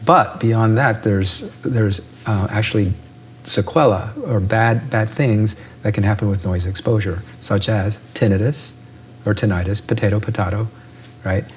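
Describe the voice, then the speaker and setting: A man's voice: on the low side, fairly even intonation, measured pace, a little hiss or hum. A man, an ear doctor, explaining with analogies in an interview and often checking in with "right?".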